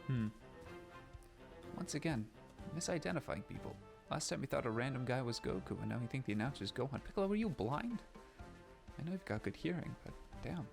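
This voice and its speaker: A man's voice: mid-range voice, big pitch swings, brisk, creaky. A man from Canada with a slightly New York-like accent, rambling chattily as he plays a game on stream through a turned-down laptop mic. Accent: somewhat New York-like. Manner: rambling, self-aware, chatty with filler restarts. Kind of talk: casual let's-play commentary. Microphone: laptop mic turned down low. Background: game music.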